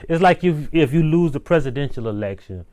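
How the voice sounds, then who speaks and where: A man's voice: a little high, expressive, fairly quick, darkish tone. A young man in casual co-host banter with a mid-range voice. He talks emphatically and hammers his points with repeated phrases. His delivery stays easygoing and conversational. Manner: emphatic, repeats "I'm telling you". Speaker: co-host of a TV review show. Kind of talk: casual chat.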